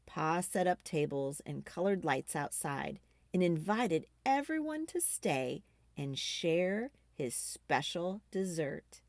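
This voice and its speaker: A woman reading a children's book aloud expressively, with dramatic emphasis and drawn-out key words. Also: deep voice, dry recording. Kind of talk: expressive storytime read-aloud.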